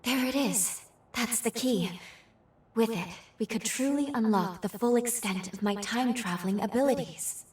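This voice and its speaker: A woman's voice: a little high, normal speed, recorded from a distance, lightly acted. Woman acting an echoing, purposeful game companion. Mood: satisfied, resolved. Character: earnest, purposeful female time-traveling companion. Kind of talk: video game cutscene acting.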